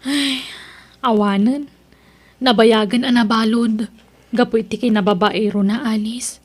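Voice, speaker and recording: A woman's voice: fairly high pitch, fairly even intonation, measured pace. A woman playing a struggling single mother who sells vegetables on the street, plaintive, in an Ilocano-accented audio drama. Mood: weary, wistful.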